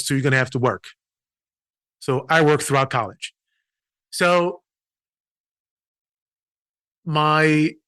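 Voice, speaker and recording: A man's voice: fairly high pitch, fairly bright tone, clean tone, no room sound, studio quality. A middle-aged man from Haiti, a physician, telling his story in an earnest way with restarts in a podcast interview. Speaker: physician and patient experience consultant.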